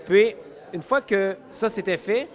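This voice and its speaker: A man's voice: high-pitched, a little slow. A man with a Quebec French accent explains his work as a cartoonist in an informal interview.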